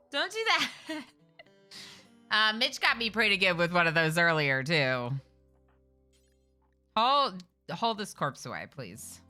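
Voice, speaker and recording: A woman streaming a game live, chatty and excitable, talking to her characters as she plays at home. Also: medium pitch. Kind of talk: live gameplay commentary.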